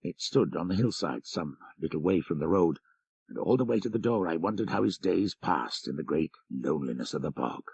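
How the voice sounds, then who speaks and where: A man's voice: low-pitched, lively intonation, a little fast, gravelly, darkish tone, husky, no echo, lo-fi sound. A man reading a literary story as scripted audiobook narration.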